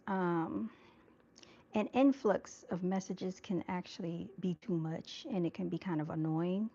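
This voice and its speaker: A soft-spoken woman hosting a casual livestream, chatting to her viewers and calling them y'all. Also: fairly low pitch, lo-fi sound. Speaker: livestream host. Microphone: camera mic on a livestream.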